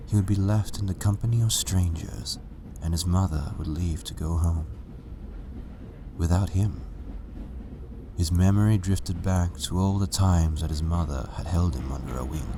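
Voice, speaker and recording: A man's voice: sits low, background noise. A man narrating a story as a scripted reading. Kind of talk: scripted fan fiction narration.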